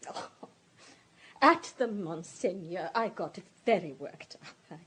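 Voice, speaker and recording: An actress in a radio drama playing a distraught, self-pitying society woman, halting and stammering with emotion. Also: fairly low pitch, lively intonation, a bit bright, slight background noise. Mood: agitated, confessional.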